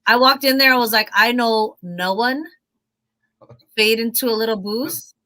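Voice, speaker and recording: A woman co-hosting a morning radio show, telling chatty stories between jokes. Her voice is bright and sits a little high. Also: unhurried. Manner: chatty, tells stories with a laugh. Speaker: radio co-host. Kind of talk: casual morning-show banter.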